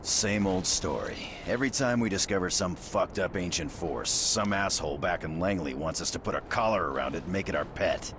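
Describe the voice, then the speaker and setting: An actor playing a commanding special forces squad leader in a video game, terse, blunt and gruff. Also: male voice, sits low, lively intonation, fairly quick, hardly any pauses, noisy background. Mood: cynical. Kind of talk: video game cutscene acting.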